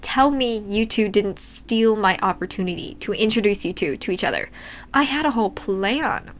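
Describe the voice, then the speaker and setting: A woman's voice: medium pitch, animated, normal speed, a little hiss or hum, close to an everyday voice. A dramatic, exasperated grown man as a woman reads him in a story. He is a mentor figure who pleads and complains. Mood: pleading.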